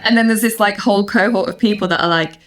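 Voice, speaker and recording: A woman's voice: mid-range voice, fast talker, soft background music. A woman in her thirties chatting casually as a podcast guest, wry, reflective and articulate. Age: 30s. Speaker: podcast guest, reality show winner. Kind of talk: casual chat.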